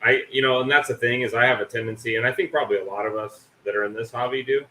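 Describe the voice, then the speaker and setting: A man hosting a casual livestream, rambling and poking fun at himself. His voice is bright and forceful. Faint noise sits underneath. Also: medium pitch, fairly even intonation, racing, echoey room, rough recording. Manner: rambling, self-mocking, swears casually. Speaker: livestream host. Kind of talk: casual chat.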